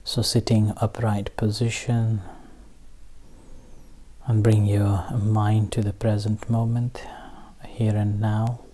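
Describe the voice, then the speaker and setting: Meditation teacher talking really slowly, mellow relaxed voice, lots of pauses between bits of instruction. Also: medium pitch. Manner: halting, with long pauses between short phrases. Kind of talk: guided meditation, slow spoken instruction.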